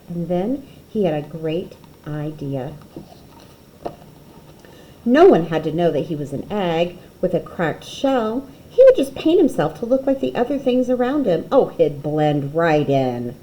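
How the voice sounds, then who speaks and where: A woman reading a children's picture book aloud expressively, with dramatic pauses and emphasis. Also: medium pitch, big pitch swings, dark tone, rough recording.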